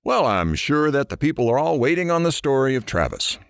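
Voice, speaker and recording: A man's voice: mid-range voice, sing-song, brisk, vocal fry, clear, resonant tone, no echo, studio quality. A man playing a brash, sarcastic radio DJ in an audio drama, with an irreverent, theatrical, wisecracking manner. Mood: teasing. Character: brash, sarcastic radio shock-jock host. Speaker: radio DJ character.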